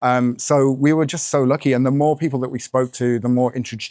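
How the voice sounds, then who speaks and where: Croaky-voiced man chatting in an interview. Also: mid-range voice, steady tone, fairly quick, a little mellow, dry recording, studio quality. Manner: chatty, with many fillers and hedges. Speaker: podcast co-host and author being interviewed. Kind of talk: conversational interview answers. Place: bookshop event, in front of an audience.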